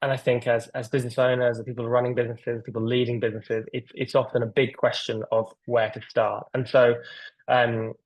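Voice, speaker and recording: A man's voice: medium pitch, flat delivery, a little fast, no echo, rough recording. A man hosting a video-call webinar in a casual, rambling way, with lots of fillers and restarts. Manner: rambling, filler-heavy, enthusiastic. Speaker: webinar host.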